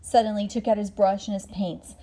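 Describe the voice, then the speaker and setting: A woman reading a children's bedtime story aloud expressively, drawing out words and dropping into hushed moments. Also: medium pitch, steady tone, brisk. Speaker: storybook reader.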